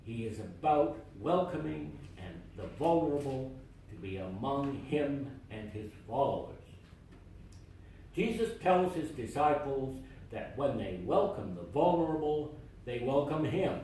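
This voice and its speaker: A man reads a sermon in a measured, deliberate way and leans hard on key phrases. His pitch moves a lot, and he takes his time. He is picked up by a distant mic in a very reverberant church. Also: lively intonation, warm tone, a little hiss or hum. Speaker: lay reader delivering a sermon. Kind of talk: read sermon. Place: church sanctuary. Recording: very echoey church from far off.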